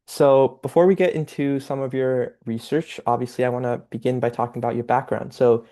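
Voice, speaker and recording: A man's voice: mid-range voice, steady tone, brisk, warm tone. A young man hosting a podcast, reading an upbeat intro and then asking casual interview questions. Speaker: podcast host.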